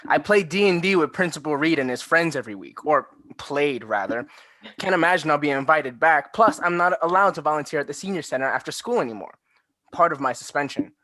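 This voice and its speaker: A man's voice: a little high, animated, a little fast, a bit bright. A man playing a geeky, indignant teenage schoolboy who complains his way through a comedy table read. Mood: aggrieved.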